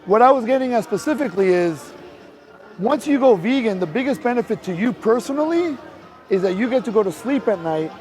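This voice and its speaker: A man's voice: high voice, expressive, a little fast, darkish tone. A man doing street outreach as an animal rights activist, talking outdoors on a city street in an earnest, emphatic way and pressing his points with rhetorical questions. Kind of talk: persuasive one-on-one street outreach.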